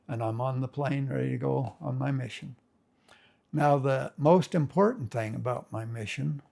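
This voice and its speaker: An older man with a gravelly, creaky voice, telling family stories in a loose, rambling way. His grammar is folksy, and he often stops and restarts his sentences. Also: mid-range voice, a little mellow. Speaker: family storyteller. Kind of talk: casual spoken reminiscence.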